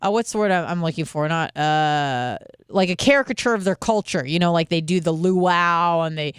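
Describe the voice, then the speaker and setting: A woman's voice: on the low side, normal speed, clean tone, no echo. Female call-in host, loose and profane, clean voice, dry studio mic. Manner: rambling, profane, frequent fillers. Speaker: radio show host. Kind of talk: casual call-in radio talk.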